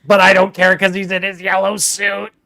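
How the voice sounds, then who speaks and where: A thirty-year-old man co-hosting a casual live stream, quick to laugh and tease with dry sarcasm. His voice sits a little higher than most men's. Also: completely flat delivery, pushed and bright. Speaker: co-host of a toy collecting live stream.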